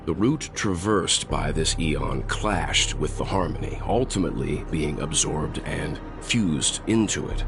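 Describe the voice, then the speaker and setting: A grave, deep-voiced narrator. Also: male voice. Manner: slow, solemn, deliberate. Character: grave, weary male narrator of cosmic history. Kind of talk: video game voice acting. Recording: game cutscene with loud score. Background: orchestral music.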